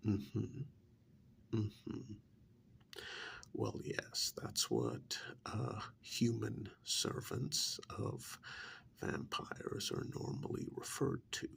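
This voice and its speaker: A man acts out a menacing, smug servant of vampires in an ASMR roleplay. His soft voice is heavily creaky and half whispered. He talks very slowly and deliberately, with condescending, winding intonation that rises and dips. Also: on the low side, big pitch swings, a little hiss or hum, full character voice. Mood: condescending, explaining. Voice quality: breathy, gravelly half-whisper. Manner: slow, deliberate, condescending, with pauses. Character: smug, menacing vampire's male agent interrogating a captured hunter.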